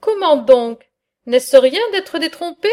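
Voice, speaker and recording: A bold, mocking old-world satirist speaks in a high, unhurried voice, as a woman reads his part. He weighs each phrase with measured earnestness. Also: animated, warm tone. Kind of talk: reading a philosophical dialogue aloud.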